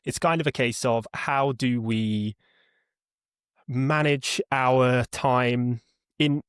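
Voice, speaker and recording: A man's voice: medium pitch, fairly even intonation, takes it slowly, clear, resonant tone, no echo. Man talking in a dry, close recording. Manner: rambling, hedging, repeats words as he thinks. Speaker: podcast guest, head of customer experience. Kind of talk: conversational explanation in an interview.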